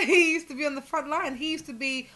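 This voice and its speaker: A young woman co-hosting a relaxed talk show about faith and current events. Her voice sits a little high with a crisp, bright edge, and she teases and argues in a casual way. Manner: teasing, quick to laugh, argumentative. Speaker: co-host. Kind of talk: casual religious commentary chat.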